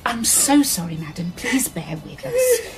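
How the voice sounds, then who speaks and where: A woman's voice: a little high, huge pitch swings, a little slow, over music. An actress playing a motherly woman salon owner, fussing and apologetic, in a radio drama. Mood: flustered.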